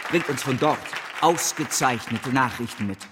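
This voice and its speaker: An actor playing a self-assured, impatient bank director in a German radio drama, speaking in a formal, emphatic manner. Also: male voice, mid-range voice, moderate pace, crowd noise, background noise, lightly acted. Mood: formal, proud. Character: male bank chief addressing shareholders, self-assured, impatient. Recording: long hall reverb.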